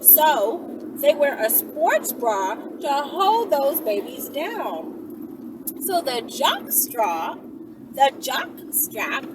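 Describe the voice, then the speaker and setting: Animated high-voiced woman over heavy noise. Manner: animated, rambling, conversational. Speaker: vlogger and channel host. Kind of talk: casual, candid monologue to viewers. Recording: distant mic, heavy vehicle-like background noise.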